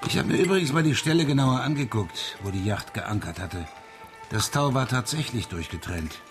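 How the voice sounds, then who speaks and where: A man's voice: on the low side, expressive, moderate pace, soft background music, lightly acted. A man acting a curious, matter-of-fact amateur investigator in a German radio drama. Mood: inquisitive.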